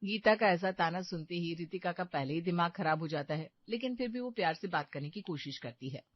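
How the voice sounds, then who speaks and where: A woman's voice: lowish voice, a little fast, no room sound, muffled recording. A woman reading scripted narration for a story.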